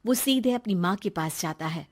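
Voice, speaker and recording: A woman's voice: mid-range voice, lively intonation, normal speed. A woman narrating a scripted moral story as a storyteller.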